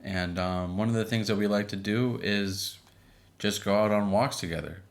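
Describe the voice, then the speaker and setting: A man in his thirties co-hosting a podcast with his wife, chatting casually with a relaxed, filler-laden manner. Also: lowish voice, normal speed. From Boston area. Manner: relaxed, many fillers and restarts. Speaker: podcast co-host. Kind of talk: casual chat.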